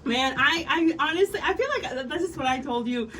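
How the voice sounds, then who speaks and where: A woman's voice: high-pitched, a little fast, a bit bright, far from the mic, a little hiss or hum. A woman from Mexico, hosting a radio interview, enthusiastic and rambling with many fillers. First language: Spanish. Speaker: radio host.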